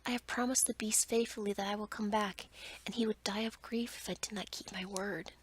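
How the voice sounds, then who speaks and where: Young fairy-tale heroine read by a woman, kind and earnest, pleading in a breathy hush. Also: medium pitch, steady tone, brisk, natural voice. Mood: earnest, concerned. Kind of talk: whispered ASMR storytelling.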